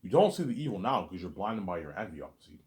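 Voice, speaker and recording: A man talking straight to his audience in a rambling monologue, repeating himself, stumbling over restarts, and letting his asides fade quietly. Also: low-pitched, sing-song, quick pace. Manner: repetitive, stammers on restarts, trails off quietly on asides. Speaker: solo vlogger.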